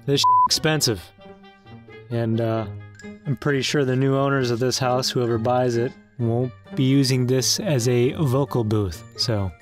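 Laid back guy just talking, slow and wry, faint music. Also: medium pitch, fairly even intonation. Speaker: voice actor. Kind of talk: casual chat.

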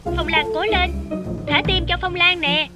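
Adoring fan, cartoon cheering, piercingly high voice, loud music behind. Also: female voice, fairly even intonation, unhurried. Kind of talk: animated cartoon dialogue.

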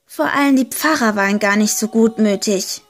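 A woman reading a short homemade fairy tale aloud, slow and deliberate, leaning gently into key words. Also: mid-range voice. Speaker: storyteller. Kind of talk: slow storybook narration.

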